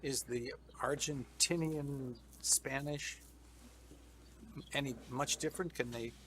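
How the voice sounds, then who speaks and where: Gravelly-voiced guy host, rambling friendly questions, mid pitch. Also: fairly even intonation, slow, a bit bright, a little hiss or hum. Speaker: interview host. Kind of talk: hosting an interview.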